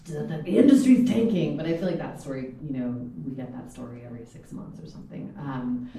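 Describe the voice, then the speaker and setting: A woman's voice: a bass voice, mellow. A woman podcast producer speaking on a live panel, rambling with many filler words. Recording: echoey room, distant mic, faint noise.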